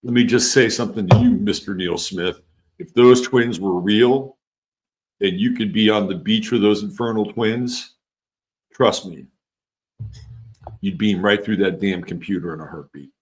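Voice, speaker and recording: A man with a low voice and an accent that blends California with the East Coast, hosting a casual livestream. He talks slowly and loosely, wandering off mid-thought and starting sentences over as he reads out viewers' comments. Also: poor audio. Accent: California and East Coast mix. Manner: loose and rambling, trails off, restarts, swears casually. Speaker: livestream host.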